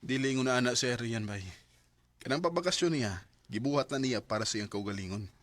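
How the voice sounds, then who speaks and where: A man acting out an earnest young Cebuano Filipino husband in a radio drama. He is devoted and sincere, with a mid-pitched male voice. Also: a little fast, fairly bright tone, dry recording, lightly acted. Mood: resigned, trusting.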